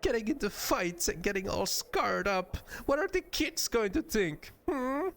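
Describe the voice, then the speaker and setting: A man's voice: high voice, wildly swooping pitch, moderate pace, vocal fry, voice pushed up, heavily acted. A man voicing a tiny, timid, too-nice young woman from a game, with a hesitant, gushing manner. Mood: indignant. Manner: hesitant, gushing, exaggerated when scolding.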